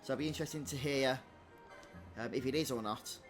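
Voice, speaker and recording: A man giving casual, chatty live commentary as a gaming streamer, offering measured opinions. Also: medium pitch, music in the background. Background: game soundtrack.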